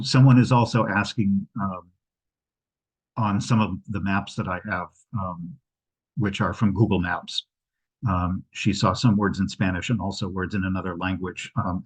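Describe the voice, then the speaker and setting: A man's voice: low voice, husky, rough recording. A man presenting on a video call, informally answering questions and thinking aloud in a hesitant way. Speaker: travel presenter. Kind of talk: informal question-and-answer after a travel slideshow. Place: indoors at home, on a video call. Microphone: video call microphone.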